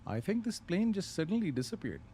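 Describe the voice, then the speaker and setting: A man casually talking through a live flight simulator session, relaxed and unhurried, thinking aloud with pauses. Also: high voice, slight background noise. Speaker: flight sim streamer. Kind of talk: casual live-stream commentary.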